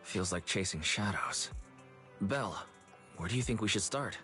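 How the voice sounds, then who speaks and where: A man voicing a calm, thoughtful young brother in a video game. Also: fairly low pitch, normal speed, soft background music. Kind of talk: scripted English video game voice acting.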